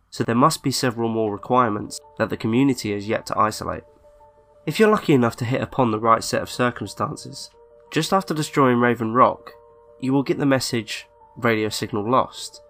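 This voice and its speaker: A man reading a scripted online horror story in a measured, deliberate way, with pauses between phrases. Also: fairly low pitch, a bit bright. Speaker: narrator of a creepypasta video. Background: background music.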